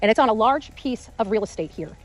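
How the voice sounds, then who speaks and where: A woman gives an amateur, unscripted report from outdoors in a fairly high voice. She is halting and repeats her phrases as she goes. Faint music runs underneath. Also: expressive. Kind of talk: unscripted on-location vlog reporting. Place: outdoors in quiet rural area.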